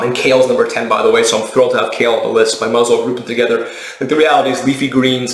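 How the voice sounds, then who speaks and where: A man's voice: medium pitch, a little hiss or hum. A man speaking as a dietitian in a casual explainer video, conversational, matter-of-fact and opinionated. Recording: roomy, echoey indoor sound.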